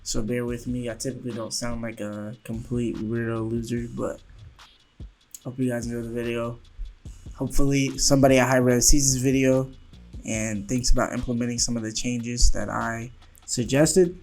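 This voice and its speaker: A man talks through a game commentary rant in a medium-pitched voice that sounds nasal from a sore throat. He is just getting over being sick. His tone swings between calm explanation and exasperated complaint. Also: moderate pace, soft background music. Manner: ranting, exasperated, rising and louder when annoyed. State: sore throat, recovering from illness. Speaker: gaming YouTuber.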